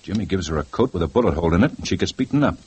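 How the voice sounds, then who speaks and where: Male detective, deep, clipped, insistent, for vintage radio. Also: expressive, very fast, darkish tone, a little hiss or hum. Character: male police detective, brisk and probing. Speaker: police detective. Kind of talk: 1950s radio drama acting.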